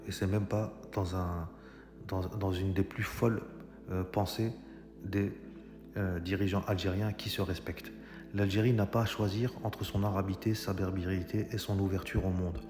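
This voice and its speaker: A man lays out a political argument straight to his viewers, stumbling and restarting now and then. His voice sits a little low, and the recording carries a long hall reverb with music playing clearly underneath. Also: little pitch movement, moderate pace. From Algeria. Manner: argumentative, addresses viewers directly, frequent hesitations. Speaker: commentator. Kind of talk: political monologue. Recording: echoey room with long reverb.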